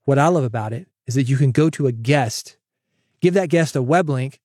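A man's voice: mid-range voice, fairly quick, husky, dry recording. Upbeat man podcasting, dry studio sound. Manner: energetic, coaching, direct address. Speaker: podcast host. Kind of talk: solo podcast advice with sponsor read. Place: home studio, processed dry voice. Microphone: studio broadcast dynamic mic.

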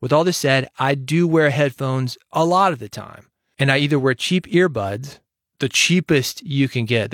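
A man's voice: mid-range voice, a bit bright, no room sound. A man hosting his own podcast alone, giving direct, confident advice into a studio microphone. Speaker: podcast host. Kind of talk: solo podcast talk.

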